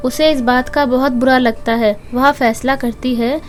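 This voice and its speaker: A woman's voice: high-pitched, fairly even intonation, measured pace. A woman narrating a children's moral story from a script, as a storyteller. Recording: music behind the voice. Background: background music.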